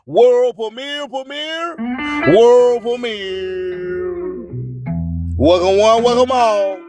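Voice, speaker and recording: A man's voice: extremely high pitch, extremely slow, music in the background. A man hosting radio with a rhyming spoken-word monologue, proclaiming emphatically and boastfully and drawing out his phrases. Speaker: radio host and music promoter.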